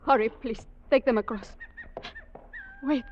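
An actress playing a guarded young Mexican woman in an old radio adventure drama, speaking halting English with a Mexican Spanish accent. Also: fairly high pitch, a little mellow, slight background noise, lightly acted. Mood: anxious. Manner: simple, halting foreign-sounding English. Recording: muffled old radio broadcast, music behind.

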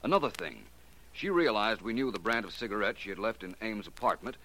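An actor playing a suave, confident American detective in 1940s radio drama style, speaking deliberately and with assurance. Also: male voice, on the low side, lively intonation, pushed and bright, slight background noise, rough recording. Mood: assured explaining. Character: confident, shrewd male private detective explaining a solved case. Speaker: lead actor.

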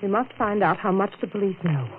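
An actress playing a calm, firm woman protecting the man she loves in a 1940s radio drama. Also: medium pitch, brisk, close to an everyday voice. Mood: resolute. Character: cool-headed woman shielding her lover. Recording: tinny lo-fi 1940s radio broadcast with hiss.

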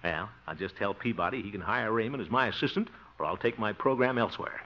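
An actor playing an excitable, easily flustered middle-aged radio host with a giggly chortle, in 1950s radio comedy style. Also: male voice, on the low side, quick pace, natural voice. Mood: wry. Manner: broad comic exclamations and muttered asides. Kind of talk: 1950s radio sitcom acting. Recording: dull, very noisy old radio recording.

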